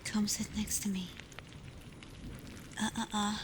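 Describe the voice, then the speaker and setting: Casting: woman to play protective female alpha werewolf for ASMR roleplay; hushed, unhurried, even delivery, gently teasing, loud storm ambience. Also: mid-range voice, noisy background, close to an everyday voice. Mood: teasing, coaxing. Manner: soft, breathy, often near a whisper, soothing. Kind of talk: ASMR roleplay, spoken intimately to the listener. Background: thunderstorm and rain ambience.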